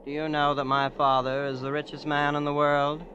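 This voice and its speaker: An actor playing a smug young heir who boasts of his family's wealth in a calm, matter-of-fact way, in 1940s radio acting. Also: on the high side, fairly quick, a little hiss or hum. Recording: dull old radio recording.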